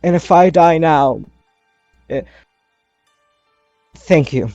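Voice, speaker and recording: A man's voice: on the high side, slow, mellow, no room sound, dull audio, soft background music. A man playing a remorseful teenage vampire girl who pleads solemnly and earnestly in court in a tabletop game. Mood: earnest, pleading.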